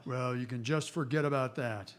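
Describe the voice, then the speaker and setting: An actor playing a firm, fair frontier marshal in a Western radio drama, plain-spoken and authoritative. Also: male voice, mid-range voice, normal speed, clean tone, close to an everyday voice. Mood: stern.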